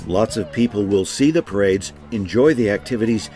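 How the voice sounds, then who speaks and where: A man's voice: fairly low pitch, normal speed, over loud music. A man hosting a travel show, reading scripted narration. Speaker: host and narrator.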